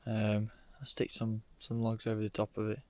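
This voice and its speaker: A man's voice: fairly low pitch, moderate pace. A young man with a cold and a nasal voice, chatting casually to camera in an offhand way. He records in his car and then outdoors in frozen woods. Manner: relaxed, offhand, says "bloody" a lot. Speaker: vlogger. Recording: noisy background.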